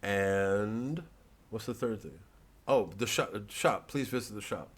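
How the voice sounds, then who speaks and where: A low-pitched male comedian talks casually to his online audience. He rambles and digresses, often halting to find his thread, with a self-deprecating manner. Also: expressive.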